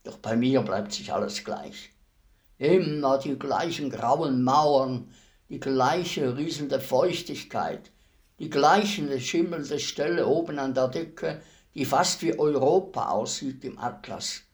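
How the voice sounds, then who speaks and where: An actor playing a weary old executioner in a radio play, speaking in a deliberate, ruminative manner with heavy pauses. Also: male voice, medium pitch. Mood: bleak, somber. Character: weary old male executioner, fifty years imprisoned, brooding, humble.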